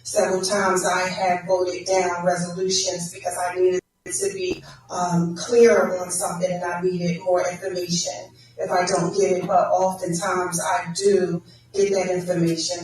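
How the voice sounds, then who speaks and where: A council member speaks at a public forum in a low, flat, measured voice. She is picked up from far off, and her voice echoes heavily around the hall over faint noise. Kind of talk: candidate forum answers. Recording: echoey hall, distant mic.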